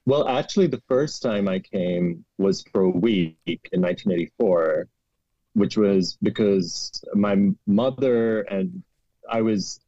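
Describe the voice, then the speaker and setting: A man's voice: lowish voice, slow, warm tone, no room sound, highs cut off. A man in his forties from Pakistan, a history professor being interviewed, who speaks reflectively with frequent restarts and fillers and a wry turn of phrase. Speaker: guest historian.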